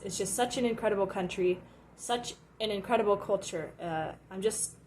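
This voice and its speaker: A woman's voice: medium pitch, normal speed, noisy background. A woman from the United States, a university student, gushing informally. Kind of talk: casual testimonial.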